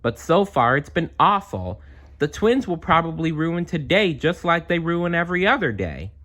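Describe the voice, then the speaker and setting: A man's voice: a little high, big pitch swings, normal speed, a bit bright, pitched above the performer's own voice. A man voicing a frustrated young girl in a storybook who is fed up with her siblings. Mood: glum.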